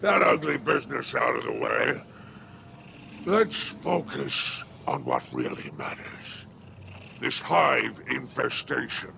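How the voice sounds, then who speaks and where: An actor playing a sly, menacing alien crime baron in a game cutscene, with a gravelly, mocking, theatrical delivery. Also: male voice, medium pitch, noisy background, full character voice. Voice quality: gravelly, creaking growl. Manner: drawn-out, sardonic, dropping to breathy asides. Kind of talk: dramatic video game cutscene acting.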